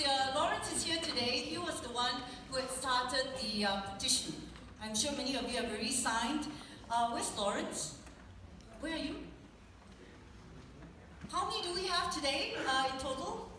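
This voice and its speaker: A woman's voice: sits high, far from the mic. A woman with a Malaysian accent speaking informally and conversationally as a resident at a town hall meeting. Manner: conversational, engaging the audience with questions. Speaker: resident speaking for concerned neighbours. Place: large indoor hall at a public meeting. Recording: echoey hall from afar, noisy crowd.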